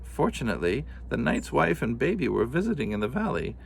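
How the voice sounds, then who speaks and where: A man voicing a wise, kindly old woman who speaks gently and solemnly. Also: mid-range voice, very animated, brisk, creaky, a little hiss or hum, voice pushed up.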